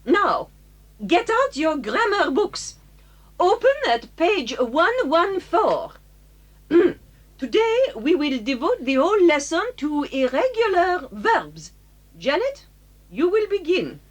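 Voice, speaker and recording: An actress playing a strict, easily exasperated French schoolmistress with a French accent and halting English, in a children's audio drama. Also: female voice, very high voice, sing-song, heavily acted. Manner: commanding, theatrical, halting in English.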